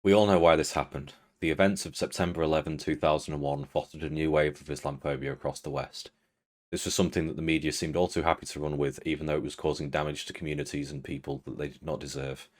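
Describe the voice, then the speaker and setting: A young British man with a British accent, reading a prepared critical commentary as the host of an online show, in a measured, dry and earnest way. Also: a bass voice, fairly even intonation, darkish tone. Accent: British English. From Britain. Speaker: video essay host.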